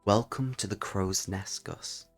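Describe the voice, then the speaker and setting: A man voicing an old woman who leads a forest guild in a dark fantasy story, dry, terse and unimpressed. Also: on the low side, a little slow, soft background music. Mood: ominous.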